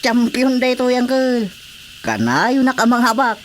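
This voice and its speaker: A woman's voice: on the high side, big pitch swings, measured pace. A woman acting a cocky, cheerful young relative in an Ilocano radio drama comedy. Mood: boastful. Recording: faint background noise.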